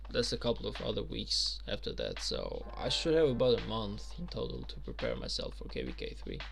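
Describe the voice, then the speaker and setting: Gravelly streamer guy. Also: mid-range voice. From Albania. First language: Albanian. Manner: chatty, filler-heavy, reads and answers viewer questions. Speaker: game streamer. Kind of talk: casual live-stream chat.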